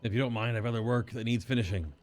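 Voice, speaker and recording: A man reading a small, gruff male saddle maker creature from a game, sounding brisk and formal. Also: mid-range voice, a little mellow, soft background music, natural voice.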